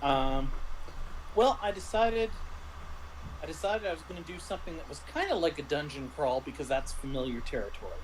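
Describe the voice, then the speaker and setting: A man in casual explanatory chat as a guest game master, speaking haltingly as he thinks aloud. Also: fairly high pitch, expressive. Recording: clearly noisy livestream audio.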